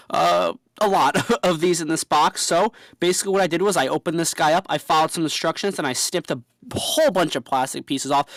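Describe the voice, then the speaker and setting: A man with a head cold talks quickly in a slightly raised voice, his tone stuffy and nasal. He chats eagerly and casually, with fillers, as he reviews a toy. The recording is close and dry, with no room sound. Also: fairly high pitch, fairly bright tone, no room sound. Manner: chatty and enthusiastic, with fillers.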